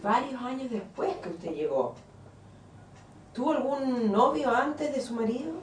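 A woman's voice: fairly high pitch, expressive, a little slow, far from the mic, slight background noise. A woman interviewer asking short, direct questions. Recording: echoey, from off-mic.

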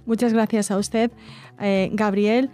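A woman hosting a radio program, reading listener letters aloud in a measured, gentle way with occasional hesitations. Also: medium pitch, fairly even intonation. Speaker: radio host. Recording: faint music underneath.

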